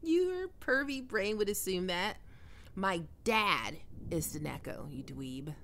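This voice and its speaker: A woman's voice: medium pitch, expressive, takes it slowly, fairly bright tone, slight background noise. A woman playing a defensive young half-vampire catgirl roommate in an ASMR roleplay, teasing and stammering with hesitant tsundere bluster. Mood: snarky, defensive.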